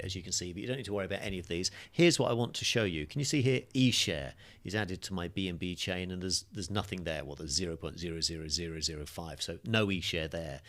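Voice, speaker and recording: A man's voice: deep voice, fairly bright tone. A man teaching a crypto course, walking through a screen-share tutorial in a chatty, upbeat way with fillers, his voice a little worn. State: slightly losing his voice, coughing.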